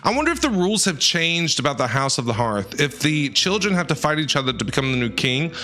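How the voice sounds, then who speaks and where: Excitable, bright-voiced man streaming. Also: on the high side, quick pace, soft background music. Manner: animated, excitable, jokey. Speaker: game streamer. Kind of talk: casual live gameplay reaction.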